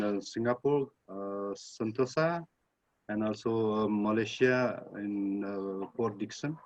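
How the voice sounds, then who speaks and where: A man from Nepal casually sharing personal experience in a group video call, with halting, hesitant phrasing. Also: lowish voice. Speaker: reading group participant. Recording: dull lo-fi video-call audio.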